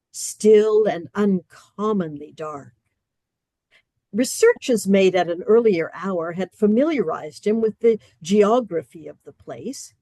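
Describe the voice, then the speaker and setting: A woman narrating a radio play with measured storytelling and dry comic emphasis. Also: mid-range voice, warm tone, dry recording.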